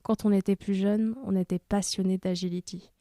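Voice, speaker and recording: A woman voicing a calm, earthy female wolf-dog hybrid in a podcast drama, speaking in a measured, intimate way. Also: mid-range voice, no echo, lightly acted. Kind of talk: podcast fiction monologue.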